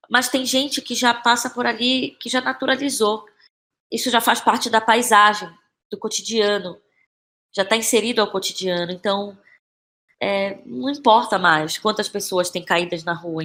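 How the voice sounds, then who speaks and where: A Brazilian woman speaks as a guest in a reflective interview, her voice at a medium pitch. She is thoughtful and halting, often restarting as she searches for the right words. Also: a bit bright. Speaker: guest playwright and actress.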